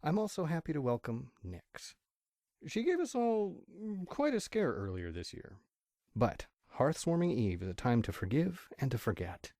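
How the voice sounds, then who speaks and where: A man playing a proud, warm-hearted pony father making a measured, ceremonial speech in an amateur audio drama. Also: a little high, sing-song, no echo, clean studio sound. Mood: proud, affectionate.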